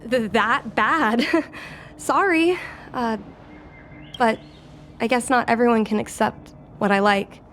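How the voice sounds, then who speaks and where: A woman's voice: on the high side, slow pace, long pauses, slight background noise. A woman playing a spirited, earnest young fox-woman who dreams of piloting, in a video game. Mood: sheepish, hopeful. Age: young adult. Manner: perky, expressive, earnest. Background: music.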